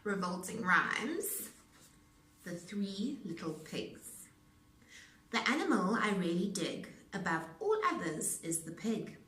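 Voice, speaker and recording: Unhurried, expressive woman reading rhymes aloud. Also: mid-range voice. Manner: theatrical, rhythmic, deliberate. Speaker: storyteller, speech-language pathologist. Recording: roomy echo, distant mic.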